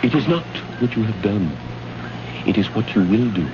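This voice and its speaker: Low-voiced, cryptic alien man played by an actor. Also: lively intonation, lightly acted. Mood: grave warning. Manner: measured, deliberate, grave. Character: calm, cryptic alien man guarding his world. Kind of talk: old-time radio drama acting. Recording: noisy, muffled old radio recording.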